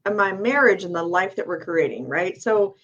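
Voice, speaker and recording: A woman's voice: lowish voice, expressive, a bit bright, highs cut off. Upbeat woman giving advice in casual chat. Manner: upbeat, talks in quick asides with frequent "right?". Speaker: real estate agent guest.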